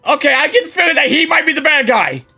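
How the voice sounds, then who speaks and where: A woman's voice: high voice, big pitch swings, quick pace, bright, forceful tone. A woman streamer giving casual, rambling reactions to a game as she plays, often repeating her words. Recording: game music behind voice.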